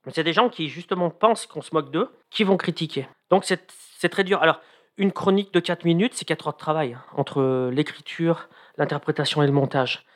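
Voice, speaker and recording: Man, fairly high-pitched, chatty, hesitant, radio interview guest. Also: fairly quick. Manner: chatty, hesitant with many fillers. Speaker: radio comedy columnist. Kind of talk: casual radio interview chat.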